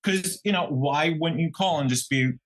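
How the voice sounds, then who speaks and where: A man co-hosting a true crime podcast, talking casually in a halting, musing way as he thinks out loud. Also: a little high. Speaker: podcast co-host.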